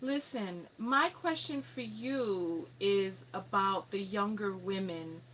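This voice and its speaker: A woman's voice: medium pitch, takes it slowly, fairly bright tone, slight background noise. A woman from New York City with a New York accent, hosting a live coaching call and pressing a caller with firm questions. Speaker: radio show host and relationship coach.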